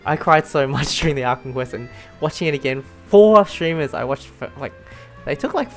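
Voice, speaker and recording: A woman's voice: sits low, animated, fairly quick. A woman giving casual, giggly commentary as she streams a game, with faint music behind her. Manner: giggly, informal. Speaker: gameplay streamer.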